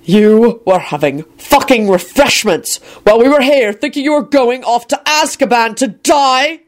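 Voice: medium pitch, sing-song, normal speed, creaky, voice pushed up. A narrator voicing a wrathful, foul-mouthed man in a storybook reading, with an explosive, scornful manner. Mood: enraged.

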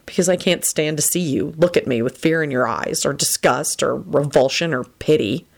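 Woman acting a brooding, gravelly young wizard. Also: sits low, normal speed. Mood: quiet, bitter. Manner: grave, earnest, self-reproaching. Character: brooding, tormented young male wizard in love.

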